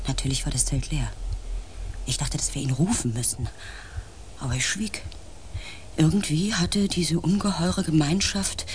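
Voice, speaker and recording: A male actor playing an anxious member of a space expedition in a radio play, telling the story in a suspenseful, hushed manner. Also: sits high, slight background noise. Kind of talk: radio play acting with first-person narration.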